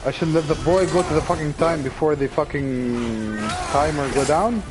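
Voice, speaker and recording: A man commentating his own play, thinking aloud, excitable and swearing often. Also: on the high side, moderate pace, very noisy. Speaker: game streamer. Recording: loud game music behind.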